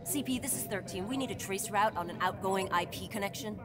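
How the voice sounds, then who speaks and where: A woman playing a tough, brisk, sardonic police detective in a cinematic crime game. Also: medium pitch, loud music behind the voice, lightly acted. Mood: brisk, businesslike.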